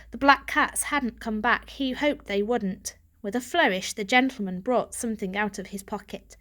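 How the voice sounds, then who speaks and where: A woman narrating a children's story in an expressive, dramatic reading. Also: fairly high pitch. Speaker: audiobook reader.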